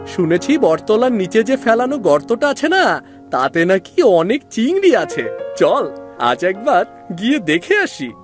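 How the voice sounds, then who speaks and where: Cartoon big brother, acted: young village boy, brave, reassuring, very animated delivery, faint music behind. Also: medium pitch, a little slow. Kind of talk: cartoon story voice acting.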